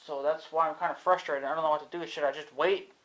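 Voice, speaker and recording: A man's voice: a little high, fairly even intonation, fast talker, fairly bright tone. A young man, thinking aloud in a casual vlog, unsure of himself and swearing freely. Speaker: vlogger. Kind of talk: rambling casual talk to camera.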